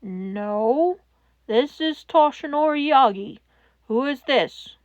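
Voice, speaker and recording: A woman voicing a grown male hero with a rough voice, anguished and urgent. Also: sits high, expressive, slow pace, no echo, highs cut off.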